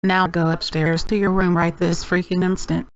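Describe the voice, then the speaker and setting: A woman's voice: lowish voice, flat delivery, moderate pace, no room sound, natural voice. A synthetic female voice playing an angry mother who orders her child to their room. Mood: stern. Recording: dull lo-fi.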